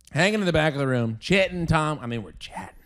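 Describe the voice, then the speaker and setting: A man co-hosting a casual comedy podcast. His pitch swoops around a lot as he tells stories, and he slips into funny voices for people he met. He talks in a loose, riffing way. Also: a little fast. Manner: animated storyteller who does voices of people he met. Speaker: podcast co-host. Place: podcast studio at home.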